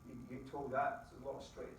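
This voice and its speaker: A middle-aged Scottish man giving a lively motivational talk to a live audience, quizzing the crowd with folksy asides. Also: mid-range voice, fast, slight background noise. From Scotland. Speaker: business opportunity presenter. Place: presentation room with an audience. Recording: very echoey room, distant mic.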